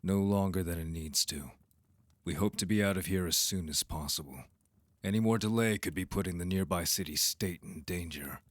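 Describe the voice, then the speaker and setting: A man playing a cold, haughty vampire council envoy in an audio drama, curt, formal and disdainful, with a husky voice. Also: low-pitched, normal speed, no echo, natural voice. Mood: cold, demanding. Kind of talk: audio roleplay drama acting.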